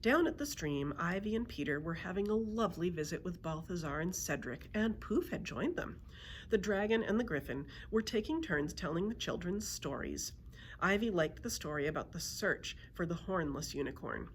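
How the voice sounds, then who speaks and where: A woman narrating a children's book aloud as a storyteller, in an expressive read-aloud style. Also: lowish voice, normal speed, slight background noise. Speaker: librarian storyteller.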